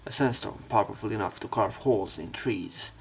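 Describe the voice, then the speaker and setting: A man narrating a visual novel aloud as a let's play, reading haltingly and slipping into casual commentary. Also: lowish voice, vocal fry. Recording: game music behind.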